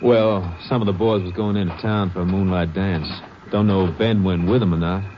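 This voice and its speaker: An actor playing an affable, helpful sawmill worker with a folksy way of talking in a radio western. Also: male voice, low-pitched, fairly even intonation, fairly quick, close to an everyday voice. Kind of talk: old-time radio western acting. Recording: dull, hissy old radio recording.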